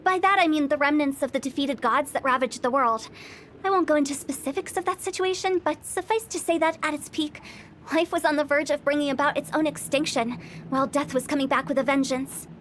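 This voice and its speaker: A mischievous young funeral director in a game cutscene, her voice set high. She teases lightly, then lowers into grave, measured storytelling about life and death. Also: fairly bright tone, slight background noise. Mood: solemn. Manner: teasing, then solemn when explaining. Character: playful young woman funeral parlor director.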